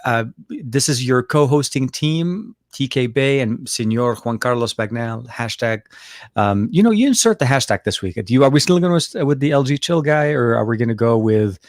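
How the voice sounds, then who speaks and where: A man's voice: medium pitch, expressive, normal speed, no room sound, clean studio sound. Playful chatty man from Lebanon. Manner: playful, chatty, lots of fillers. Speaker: co-host. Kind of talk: casual tech chat.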